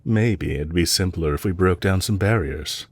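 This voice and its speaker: A man playing a composed, courteous villain leader in an audio roleplay, speaking in a measured, formal way to a captive he is fond of. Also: deep voice, slightly rough. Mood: gentle, coaxing.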